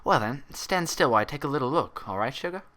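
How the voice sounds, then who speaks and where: A man's voice: mid-range voice, expressive, fast talker, bright, forceful tone, voice pushed up. A man voicing a stern female unicorn head nurse in a story reading, patronizing and controlled. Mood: syrupy sweet. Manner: patronizing endearments, cool professional tone.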